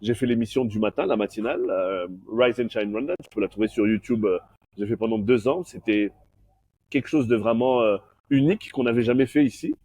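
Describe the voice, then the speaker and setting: Homme bilingue qui passe du français à l'anglais. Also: male voice, fairly low pitch, a little fast, warm tone, dry recording. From born in Belgium, raised in Congo. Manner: switches between French and English mid-sentence. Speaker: guest, master of ceremonies. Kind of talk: casual interview chat.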